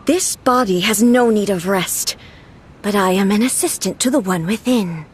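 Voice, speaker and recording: A woman's voice: medium pitch, normal speed, slight background noise, lightly acted. A woman voicing a stern, detached puppet guardian in a video game, speaking in a formal, clipped, commanding way. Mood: impassive.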